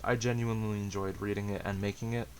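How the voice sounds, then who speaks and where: A man rambles casually to his channel's viewers. He has a fairly low voice and speaks haltingly, often hesitant and self-deprecating. The amateur home recording carries a faint hiss. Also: little pitch movement. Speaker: amateur story reader and channel host.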